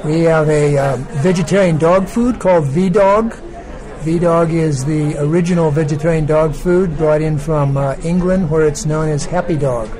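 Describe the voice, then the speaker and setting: A man at an exhibition booth explaining his product in a measured, slightly hesitant way. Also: a little high, steady tone, mellow, rough recording. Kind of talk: casual product pitch.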